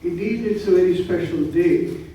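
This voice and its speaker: A man from India, a professor giving a measured formal speech. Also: high voice, lo-fi sound. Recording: echoey hall, distant mic, faint noise.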